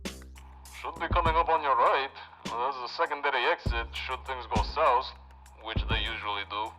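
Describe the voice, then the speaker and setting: Man as bright, exasperated radio mission handler. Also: medium pitch, normal speed, soft background music, poor audio. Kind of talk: audio drama dialogue.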